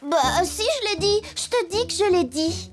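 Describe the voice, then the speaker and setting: Very high, sweet little fairy. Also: female voice, very animated, normal speed. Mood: insistent. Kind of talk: children's cartoon dub. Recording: loud music behind the voice.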